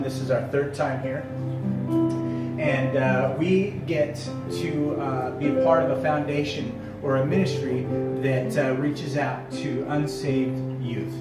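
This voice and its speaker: Hesitant man, very echoey room, loud guitar. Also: fairly high pitch. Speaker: guest speaker, ministry worker. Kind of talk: hesitant informal speech to an audience. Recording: very echoey, distant mic, loud music. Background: loud guitar music.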